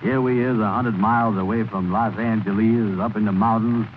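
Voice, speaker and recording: A man's voice: on the low side, fairly even intonation, moderate pace, lightly acted. An actor playing a grumbling, blustery man with folksy, ungrammatical dialect, in 1930s radio comedy style. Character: grumbling, blustery cab rider, wary of spending money. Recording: crackly 1930s radio broadcast, narrow band.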